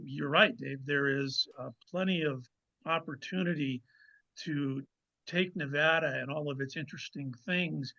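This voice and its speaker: A man speaking as a guest author in a conversational interview, explaining things in a measured way with pauses to find his words. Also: on the high side, creaky, pushed and bright, dull audio. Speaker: guest novelist.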